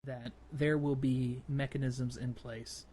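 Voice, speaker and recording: A man with a medium-pitched voice chats as a podcast co-host. He explains things step by step, thinking aloud in a casual way. There is clear ambient noise behind him. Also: little pitch movement, slow pace, mellow. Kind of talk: casual podcast discussion of gaming.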